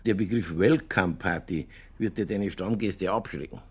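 An actor playing a sceptical, dry man in a radio drama, doubtful and deadpan in a natural style. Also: sits low, moderate pace, slightly rough. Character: sceptical, dry, well-read Bavarian man. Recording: music playing behind the voice.

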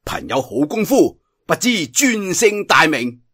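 A man's voice: sits high, sing-song, a little slow, fairly bright tone, breathy edge, heavily acted. Man playing a wily, blustering Cantonese outlaw swordsman. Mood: courteous. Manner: ingratiating, theatrical bluster.

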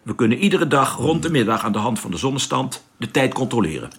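An actor playing a brisk, commanding male spaceship captain in a radio play. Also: mid-range voice, big pitch swings, slightly rough. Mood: calm, businesslike. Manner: brisk, questioning, giving orders.

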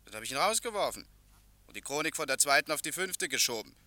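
Casting: print foreman, defensive, tense bright tone. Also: a little high, very animated, quick pace, exaggerated voice. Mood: matter-of-fact. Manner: matter-of-fact, then flustered. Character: harried male print-shop foreman, defensive.

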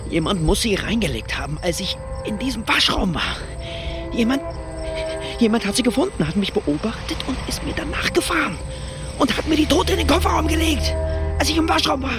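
A frantic, stammering doctor. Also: male voice, extremely high pitch, sing-song, fairly quick, over music. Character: nervous male doctor sliding into panic. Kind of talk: radio drama acting.

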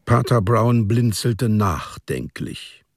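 A man narrating a literary radio drama in a deliberate, descriptive storytelling manner. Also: fairly low pitch, animated, no echo, clean studio sound.

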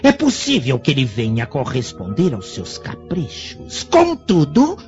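An actor playing a menacing, shrewd sorcerer of ancient Rome in a theatrical radio drama, solemn and insinuating. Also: male voice, fairly high pitch, wildly swooping pitch, a little slow, darkish tone. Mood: grave warning. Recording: muffled lo-fi radio drama with music.

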